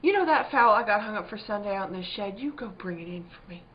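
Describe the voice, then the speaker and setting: An actress playing a firm but troubled country wife in a spooky radio drama, speaking in plain rural dialect. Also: female voice, medium pitch, animated, fast, natural voice. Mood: flustered. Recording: dull, muffled lo-fi recording.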